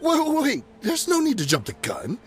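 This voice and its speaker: An actor playing a nervous, wheedling ex-mercenary weapons smuggler in a video game dialogue. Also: male voice, extremely high pitch, huge pitch swings, normal speed, soft background music, heavily acted.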